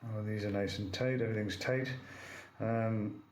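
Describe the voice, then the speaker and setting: A man's voice: lowish voice, steady tone, normal speed, darkish tone. Chesty man rambling through a demo. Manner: rambling, repeats himself, lots of fillers. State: chesty with a cold. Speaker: vape reviewer. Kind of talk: casual hands-on product demo. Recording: echoey room with faint noise.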